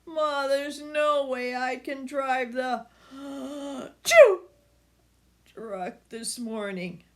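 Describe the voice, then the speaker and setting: A woman's voice: high-pitched, very slow, pitched above the performer's own voice. Woman voicing a whiny, sick grown-up son. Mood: sick, miserable. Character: sick, whining grown son pleading with his mother.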